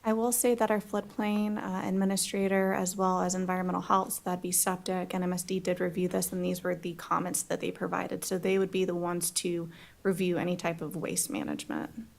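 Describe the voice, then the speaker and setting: A woman on county planning staff answers questions at a hearing. Her voice is lowish and gravelly, and her delivery stays flat and matter-of-fact. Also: a little fast. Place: county board meeting room.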